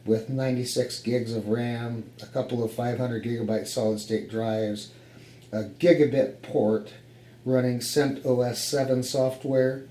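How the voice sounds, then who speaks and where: A man's voice: medium pitch, dark tone. A man with an American accent giving a casual, explanatory walkthrough of equipment in his home office. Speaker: hobbyist radio operator presenting his setup. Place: home office radio room, indoors. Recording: roomy echo with faint background noise.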